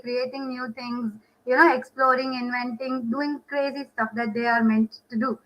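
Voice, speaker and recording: A woman's voice: high-pitched, fairly bright tone. A woman speaking as a parent guest on a panel, answering conversationally and earnestly, repeating herself and often saying "you know". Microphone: video call. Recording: dull lo-fi video call audio.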